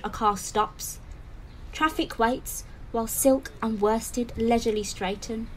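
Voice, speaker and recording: A woman playing a brooding, poetic storyteller in an audio drama, with deliberate dramatic delivery. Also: on the high side, slight background noise, rough recording. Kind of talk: scripted audio drama narration. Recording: faint background ambience.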